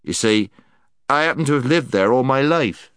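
A man's voice: mid-range voice, animated, normal speed, a bit bright, clean tone, no room sound, voice pushed up, lightly acted. Man playing storybook marsh creature, male, stubborn, gloomy, stout-hearted, plain-spoken, dry recording. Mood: stout, insistent. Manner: plain-spoken, breathless, struggling for air. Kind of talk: dramatised storybook reading.

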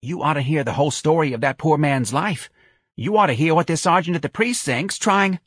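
A man's voice: a little high, a little fast, a bit bright, slightly rough, no echo, clean studio sound, voice pushed up. A man voicing a doting, plaintive middle-aged working-class mother in folksy, ungrammatical speech for an audiobook. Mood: plaintive, insistent. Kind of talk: audiobook character voice.

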